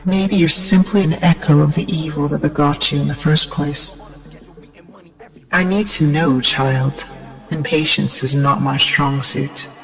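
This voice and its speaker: A solemn, slow-speaking shrine guardian. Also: female voice, low-pitched, steady tone, faint music behind, low-quality recording. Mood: stern, probing. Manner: solemn, grandiose, incantatory. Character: imperious masked female shrine guardian, solemn and zealous. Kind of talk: video game cutscene acting.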